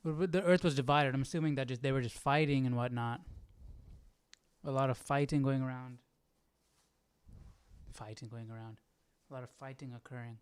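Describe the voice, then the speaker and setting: A man's voice: mid-range voice, steady tone, normal speed, pauses often, smooth, no room sound. A man chatting casually as a live study host, thinking aloud in an informal, tentative way.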